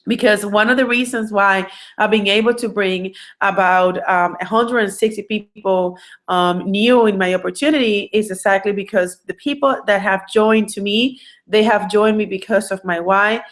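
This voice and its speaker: A woman's voice: mid-range voice, steady tone, normal speed, fairly bright tone. A woman from Puerto Rico with a Puerto Rican Spanish accent, Spanish her first language, giving an online recruiting training in an earnest way, with many fillers and restarts. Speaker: recruiting coach.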